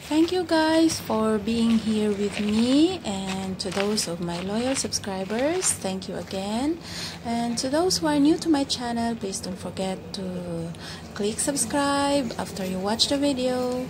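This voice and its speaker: A woman vlogging on a forest hiking trail, speaking slowly and mixing English with Tagalog, recorded on a handheld phone outdoors. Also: on the high side. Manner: slow, mixes English and Tagalog. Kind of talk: casual vlog narration while hiking. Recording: outdoor phone audio with loud background noise.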